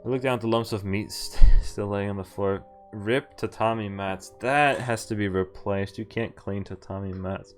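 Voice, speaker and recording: A man's voice: fairly low pitch, fairly even intonation, moderate pace, hardly any pauses, faint music behind. A man reading visual novel text aloud and adding casual, sweary commentary as he streams. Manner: swears freely.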